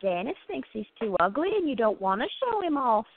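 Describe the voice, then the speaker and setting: A woman voicing a quiet, curious gallery worker in a radio play, chatty and gently prodding her friend. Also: fairly high pitch, big pitch swings, brisk, slight background noise. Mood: amused, gossipy. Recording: tinny, phone-like narrow sound.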